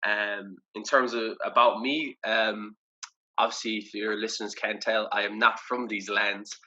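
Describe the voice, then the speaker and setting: Irish man, young adult, casual interview talk, halting with fillers, bright voice, muffled recording. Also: on the low side, little pitch movement. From Ireland. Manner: reflective, loose, many fillers and restarts. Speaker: podcast guest.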